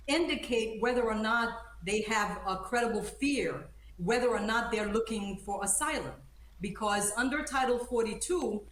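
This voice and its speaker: A woman born in Haiti and raised in the United States, speaking emphatically and indignantly as an attorney guest in a live news interview. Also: on the high side. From born in Haiti, grew up in the United States. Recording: roomy, echoing sound.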